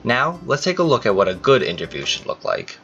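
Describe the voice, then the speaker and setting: A man's voice: mid-range voice, huge pitch swings, fairly quick, creaky, bright, forceful tone, dull audio, faint music behind. A man narrating a scripted training video, reading evenly from a prepared text.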